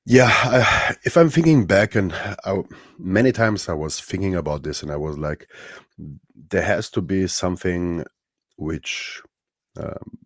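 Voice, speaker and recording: A man in his thirties from Romania with a Romanian accent, speaking as a podcast guest in a thoughtful, halting way with many fillers and restarts. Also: low voice, dry recording, studio quality. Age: 30s. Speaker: podcast guest, strongman athlete. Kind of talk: casual interview chat.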